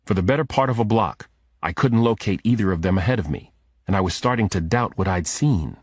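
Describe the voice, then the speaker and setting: A man's voice: fairly low pitch, animated, a little fast, no echo, studio quality. A man reading scripted first-person thriller narration as an audiobook narrator.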